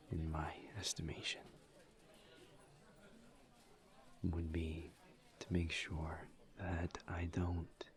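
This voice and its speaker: A man playing a courteous, earnest incubus demon in an intimate audio roleplay, speaking softly and breathily in halting, formal phrases. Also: low voice. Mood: sincere, tender. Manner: hesitant, halting, formal wording, soft-spoken and breathy. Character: courteous, earnest male incubus demon, awkward among friends.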